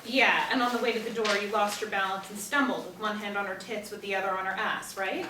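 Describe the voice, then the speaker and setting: A woman's voice: mid-range voice, steady tone, fast, close to an everyday voice. A woman, apparently in her thirties, playing a blunt, sarcastic, hurt woman at a script reading. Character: sharp-tongued, sceptical, hurt woman. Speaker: actress at a screenplay table reading. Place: indoor room, seated. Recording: echoey room, distant mic, faint noise.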